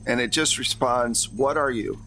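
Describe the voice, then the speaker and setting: A man's voice: mid-range voice, moderate pace, pushed and bright, faint music behind. A man narrating a tabletop roleplaying game as game master in a casual, conversational way.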